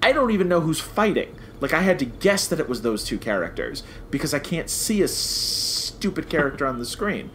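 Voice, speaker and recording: A man's voice: a little high, sing-song, moderate pace, few pauses, fairly bright tone, slight background noise. A man hosting a casual review podcast, talking emphatically and repeating phrases for stress. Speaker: podcast host.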